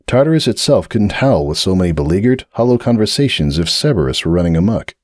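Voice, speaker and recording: A man with a low voice reads a dramatic story aloud with measured, expressive delivery. His pitch rises and falls freely as he narrates, and the sound is dry and close with no room around it. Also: no echo. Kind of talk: dramatic audiobook reading.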